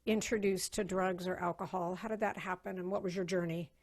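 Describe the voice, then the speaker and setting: A woman hosting a podcast, asking her guest gentle questions with supportive interjections. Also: on the low side, steady tone, fairly quick. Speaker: podcast host.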